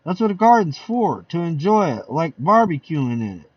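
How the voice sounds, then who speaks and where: Brief: man as a sarcastic smug husband, lively pitch, muffled distant sound. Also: moderate pace, no room sound. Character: smug middle-aged husband, sarcastic party host.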